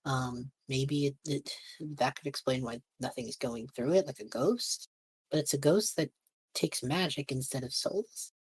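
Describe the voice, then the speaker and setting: A husky woman chatting casually as a player in a tabletop role-playing game. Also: very deep voice, unhurried, a little mellow, no echo.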